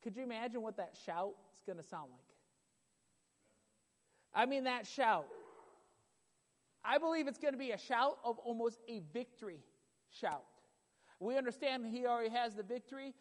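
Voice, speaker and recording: Preacher guy, loud at times, keeps repeating himself, big echoey church. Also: very high voice. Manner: emphatic, repetitive preaching, builds in intensity. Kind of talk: sermon. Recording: long hall reverb.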